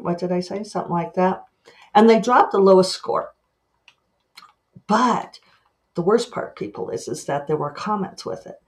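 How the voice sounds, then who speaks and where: A woman hosting her own podcast, chatting casually and animatedly with quick asides and self-mocking laughs. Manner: lively pitch jumps when acting out her own thoughts. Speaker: podcast host and author. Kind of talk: casual monologue.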